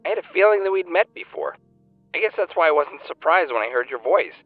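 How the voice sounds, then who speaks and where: An actor playing an earnest, talkative fan-fiction writer, heard over a phone call in an audio drama. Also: male voice, on the high side, animated, fast, no room sound, faint music behind. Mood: earnest, reflective. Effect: phone call filter. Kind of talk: naturalistic audio drama acting. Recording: thin, band-limited phone line.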